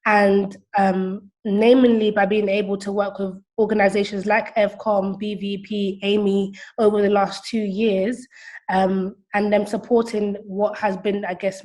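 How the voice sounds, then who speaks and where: A young woman in her twenties answers interview questions on a video call. Her voice is medium in pitch with very little melodic movement. She explains things as a founder being interviewed. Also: darkish tone, poor audio. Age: 20s. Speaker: interview guest, platform founder.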